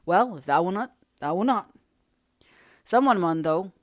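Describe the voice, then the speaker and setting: A woman's voice: fairly low pitch, expressive, measured pace, dry recording, studio quality. A woman voicing a blunt, practical working man who speaks emphatic northern dialect, in a storybook reading. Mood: resigned.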